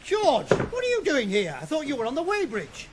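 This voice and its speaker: An actor playing a no-nonsense but decent mine deputy, giving firm instructions in a radio drama. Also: male voice, extremely high pitch, huge pitch swings, normal speed, exaggerated voice. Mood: exasperated. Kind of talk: 1980s radio drama acting. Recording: noisy vintage radio play.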